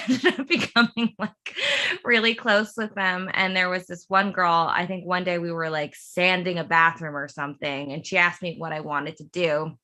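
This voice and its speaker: A woman in her thirties, originally from the Philadelphia suburbs, talks with a bright, sharp-edged tone that sits a bit low. She is a television writer answering an interviewer's question in a loose, rambling way and laughing at her own tangents. Her delivery is casual and chatty. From suburb of Philadelphia, USA. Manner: meandering storyteller who laughs at herself. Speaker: TV writer, guest.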